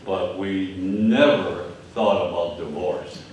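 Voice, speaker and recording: An older man with a Michigan accent delivers a Sunday sermon. He speaks slowly and haltingly in a low voice, with frequent fillers. He is picked up by a distant microphone, so his voice rings through a very echoey church hall. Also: fairly even intonation, darkish tone, slight background noise. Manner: halting, repeats words, many fillers. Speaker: pastor. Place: church hall with stage and screen. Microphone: lectern mic from a distance.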